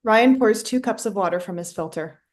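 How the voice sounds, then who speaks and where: Woman reading stage directions, medium pitch. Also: normal speed. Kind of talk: scripted narration. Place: remote online staged reading.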